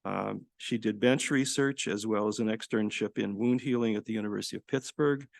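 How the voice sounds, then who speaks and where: A man introducing a guest speaker at a live lecture, halting with many fillers and restarts. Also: fairly low pitch, gravelly, no echo, clean studio sound. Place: lecture hall with live audience. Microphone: podium microphone.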